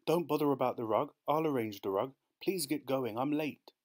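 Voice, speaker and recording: A man's voice: medium pitch, lively intonation, brisk, pitched above the performer's own voice, lightly acted. A man voicing an anxious, flustered wife who pleads politely and hurriedly, as a storybook character. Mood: fretful. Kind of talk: audiobook character voice.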